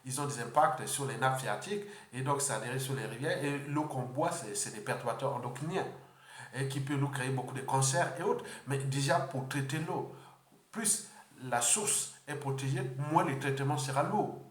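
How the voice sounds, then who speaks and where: Male political speaker from Congo, mid pitch, unscripted and halting, reverberant room. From Republic of the Congo. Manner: halting, repeats words, many fillers.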